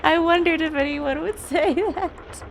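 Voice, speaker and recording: A woman's voice: extremely high pitch, unhurried, vocal fry, a little hiss or hum. A giggly, chatty woman chatting casually and reacting as she streams a video game. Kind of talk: casual livestream chat and gameplay reactions. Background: game music.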